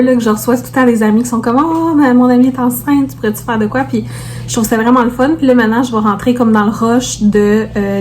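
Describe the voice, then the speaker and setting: A woman's voice: on the high side, darkish tone, slight background noise. A woman with a Québécois French accent chatting casually to viewers as a vlogger, informally peppering her talk with English words.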